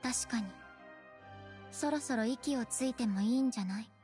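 A woman voicing a composed young magician's assistant in an anime game, with a deadpan, measured and quietly pointed delivery. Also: a little high, natural voice. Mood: calm, pointed. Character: cool, composed young cat-eared magician's assistant girl. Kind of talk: Japanese anime game voice acting. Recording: faint music behind the voice.

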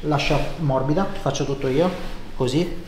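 A man's voice: fairly high pitch, moderate pace, a little mellow. A man in his thirties talking a patient through hands-on treatment, reassuring and explanatory, with short instructions. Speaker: chiropractor. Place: indoor home-style treatment room. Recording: roomy echo, noticeable background noise.

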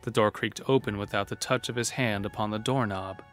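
A man narrating a dark horror audio drama as its storyteller. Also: fairly low pitch, fairly even intonation, a little fast, soft background music, natural voice. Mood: grave storytelling.

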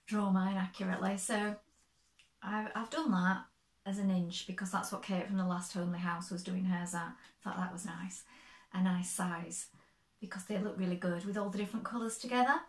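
Woman, 50s, crafting vlogger; slightly croaky, chatty explainer, roomy echo, fixed desk camera. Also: fairly low pitch, fairly even intonation, normal speed. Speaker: sewing vlogger. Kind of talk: casual show-and-tell. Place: bright sewing room, indoors.

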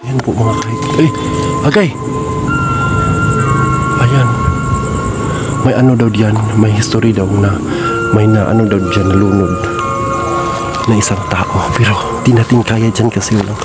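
A young man vlogging as he walks through an abandoned building's grounds at night, talking haltingly with many fillers into a handheld camera. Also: on the low side, steady tone. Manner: hesitant, halting, with fillers and repeated phrases. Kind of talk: casual handheld walkthrough at night. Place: outdoors at night on overgrown grounds. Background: loud music bed.

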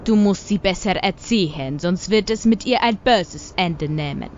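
A woman's voice: mid-range voice, lo-fi sound, slight background noise, natural voice. An actress playing a cold, mocking villainess in a radio drama, condescending and menacing as she draws out her words. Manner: condescending, menacing, drawn-out delivery. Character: cold, mocking villainess, ringleader holding children captive.

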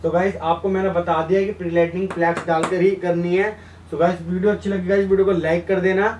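A man's voice: sits high, level tone, fast talker, darkish tone, highs cut off. A young man giving an informal, instructive tutorial, addressing viewers directly and mixing in English terms. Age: young adult. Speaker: hair colour instructor and video host. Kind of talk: informal tutorial explanation at a whiteboard. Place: small indoor room beside a whiteboard. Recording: roomy echo, distant mic, background noise.

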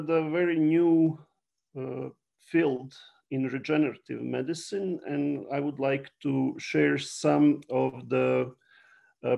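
A middle-aged man from Serbia with a Serbian accent, a native Serbian speaker, giving an explanatory online lecture with frequent halting pauses. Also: on the high side, gravelly, mellow. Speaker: university biology lecturer. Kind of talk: online lecture to a general audience.

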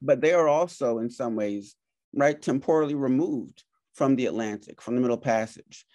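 A man's voice: mid-range voice, moderate pace, a little mellow, no room sound. A middle-aged man from Jamaica, moderating an academic talk over a video call, thoughtful and full of fillers. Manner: thoughtful, many fillers and hedges. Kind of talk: academic conversation. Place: home office.

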